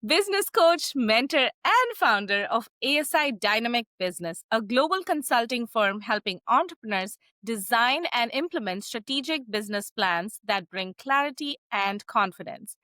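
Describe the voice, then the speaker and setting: A woman's voice: on the high side, expressive, normal speed, hardly any pauses, bright, forceful tone, dry recording. A woman hosting an interview show, upbeat and encouraging, affirming her guest often. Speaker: podcast host.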